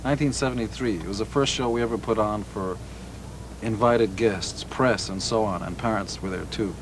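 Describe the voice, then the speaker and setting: A man being interviewed as a musician, delivering jokes deadpan. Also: lowish voice, moderate pace, background noise. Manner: deadpan joking.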